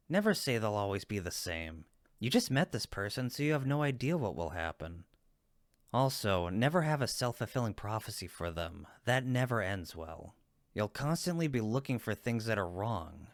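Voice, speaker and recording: Friendly male cupid roommate, acted by a man for roleplay audio: lower register, teasing yet supportive, emphatic, very dry sound. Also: dry recording. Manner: playful, reassuring, emphatic. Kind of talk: roleplay audio comfort acting, one-sided conversation.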